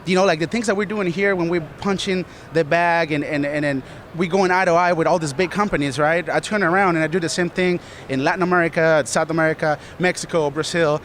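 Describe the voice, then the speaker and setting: A man's voice: on the high side, fairly even intonation, fairly quick, a bit bright, a little hiss or hum. A man from Colombia who manages an art studio, talking earnestly in a casual interview and often repeating himself. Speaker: studio manager and business partner.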